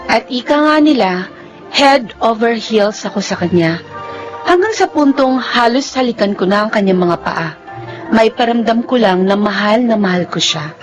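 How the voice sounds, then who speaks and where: An actress plays a devoted young wife in a radio drama. Her voice is high and pleading, that of someone long-suffering. Soft music sits faintly behind her. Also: lively intonation, normal speed, close to an everyday voice. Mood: wistful. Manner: plaintive, pleading. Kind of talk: radio drama acting with first-person narration.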